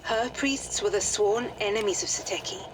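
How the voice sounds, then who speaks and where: An actress playing a composed upper-class British lady who gives formal, measured briefings. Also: medium pitch, close to an everyday voice. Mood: explaining calmly. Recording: clear ambient noise behind.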